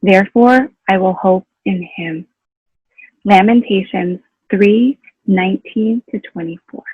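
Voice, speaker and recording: A woman reading scripture aloud in a solemn, measured style. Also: medium pitch, breathy edge, no room sound. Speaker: scripture reader. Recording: dry, slightly phone-like narrow band.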